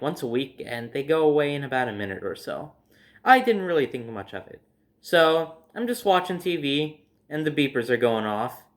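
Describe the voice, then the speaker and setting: A man telling personal stories casually to his viewers, in a conversational way, acting out his reactions as he goes. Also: medium pitch. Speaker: storyteller hosting own video series.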